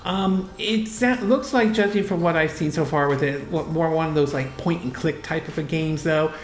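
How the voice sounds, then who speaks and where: A man's voice: on the high side, normal speed. A man hosting a solo gaming news commentary, rambling with lots of fillers, restarts and trailing "and all". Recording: echoey room.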